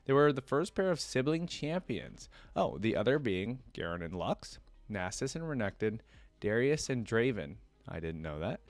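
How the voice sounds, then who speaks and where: Guy with a lowish voice reading stuff out for a reaction vid, chatty little asides, really dry room. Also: very animated, normal speed, dry recording. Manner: interjects short asides to himself while reading. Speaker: reaction video host. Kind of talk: reading trivia aloud with casual off-the-cuff reactions.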